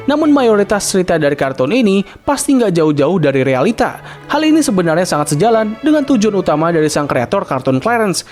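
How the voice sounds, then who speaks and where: A man's voice: high-pitched, darkish tone. A young man narrating a scripted cartoon review in casual slang, opinionated and chatty. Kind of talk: scripted video-essay narration. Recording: voice-over with faint background music.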